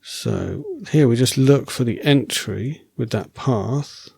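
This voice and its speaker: A man thinking aloud while writing code as a programming tutor, relaxed and wry, correcting himself as he goes, and losing his voice. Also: mid-range voice, takes it slowly, vocal fry, slightly rough.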